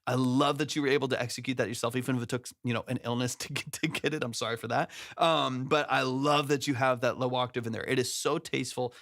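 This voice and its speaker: A man's voice: mid-range voice, fairly even intonation, fast, gravelly, few pauses. Upbeat man praising a song. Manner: enthusiastic, warm praise with frequent restarts. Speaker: music producer giving song feedback. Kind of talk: livestream feedback, casual chat.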